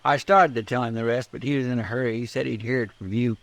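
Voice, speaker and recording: An actor playing a loyal frontier deputy sidekick with an American accent and folksy, ungrammatical talk in a radio western. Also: male voice, mid-range voice, fairly even intonation, quick pace, a bit bright, no room sound, natural voice. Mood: helpful, matter-of-fact. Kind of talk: old time radio western acting.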